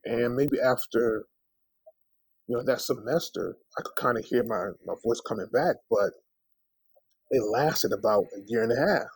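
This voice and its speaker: A man's voice: mid-range voice, normal speed, breathy edge, no echo, poor audio. A man being interviewed as a singer, telling anecdotes casually and acting out the dialogue with plenty of conversational asides. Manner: animated anecdotes with reenacted dialogue, peppered with "you know" and "man".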